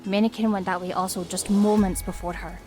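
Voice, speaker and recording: A woman's voice: medium pitch, flat delivery, fairly quick, darkish tone, natural voice. A woman playing a kindly, composed ally in a fantasy audio drama, earnest and full of concern.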